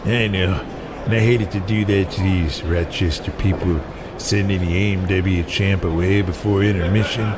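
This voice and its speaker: An actor playing a gruff, cynical older wrestling promoter and father in an audio drama, blunt and foul-mouthed. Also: low-pitched, fairly even intonation, normal speed, background noise, close to an everyday voice. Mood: dismissive. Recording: music playing behind the voice.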